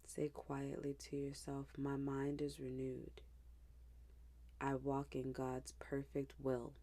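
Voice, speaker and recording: Slow, low-voiced woman guiding meditation. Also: monotone. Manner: hushed, gentle, unhurried, with pauses. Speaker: meditation guide.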